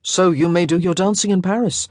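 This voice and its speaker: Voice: mid-range voice, fairly even intonation, brisk, darkish tone, no room sound, studio quality, lightly acted. A narrator voicing an earnest, awkward young man in an audiobook, speaking plainly and a bit defensively. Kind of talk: audiobook character voice.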